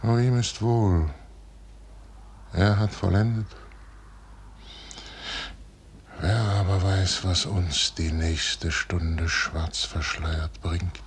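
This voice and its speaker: Casting: aging, proud warlord, low register, unhurried grave verse delivery for radio drama. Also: male voice, fairly even intonation, a little hiss or hum. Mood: mournful. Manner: measured, grave, declamatory. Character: proud, weary, fatalistic aging male general and duke.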